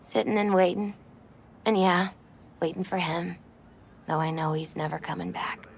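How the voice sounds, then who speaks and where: A woman playing a melancholy girlfriend in her twenties, grieving in a video game scene with quiet, reflective, halting phrases. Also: fairly low pitch, fairly even intonation, a little hiss or hum, lightly acted. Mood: wistful, sad. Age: 20s. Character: wistful twenty-six-year-old grieving girlfriend, soft-hearted, melancholy. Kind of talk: cinematic video game acting.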